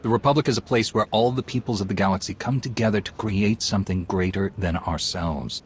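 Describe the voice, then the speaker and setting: An actor playing a calm, resolute Jedi commander in a video game, speaking in a measured, earnest, authoritative way. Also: male voice, on the low side, slight background noise, lightly acted.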